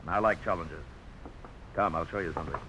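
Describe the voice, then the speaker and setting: An actor playing a proud, visionary frontier blacksmith with a folksy, dramatic storytelling delivery in a 1950s radio drama. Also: male voice, low-pitched, a little fast, close to an everyday voice. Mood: awed, intense. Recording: noisy old radio broadcast.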